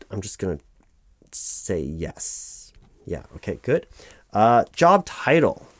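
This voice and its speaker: A man playing a patient, officious human resources officer, haltingly reading out form fields in a one-sided ASMR roleplay. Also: on the low side, no room sound, exaggerated voice. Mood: patiently correcting. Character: officious, patient male HR officer doing paperwork. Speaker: human resources interviewer filling out forms.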